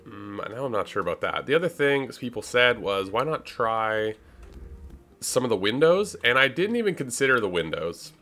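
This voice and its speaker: A man from Canada hosts a casual let's play in a deep, forward voice. His intonation swings freely as he talks. He muses through his choices and drops in wry, self-deprecating asides. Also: sing-song, normal speed, pushed and bright. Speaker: gaming YouTuber, host.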